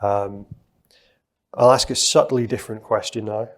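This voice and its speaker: A middle-aged man on a conference stage, explaining a live software demo in a steady, explanatory way with frequent fillers. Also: on the low side, measured pace. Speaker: presenter giving a software demo.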